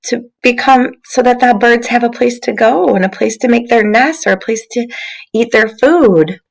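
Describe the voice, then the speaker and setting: A woman's voice: medium pitch, low-quality recording. A woman giving an interactive storybook read-aloud, encouraging young listeners and asking them questions like a teacher. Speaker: teacher reading aloud to children.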